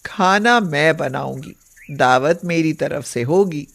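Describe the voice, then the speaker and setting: A woman's voice: sits low, expressive, takes it slowly, creaky, voice pushed down, heavily acted. A woman voicing a middle-aged, pious and suspicious mother-in-law with a commanding manner in a moral story. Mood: firm. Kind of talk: acted moral story dialogue.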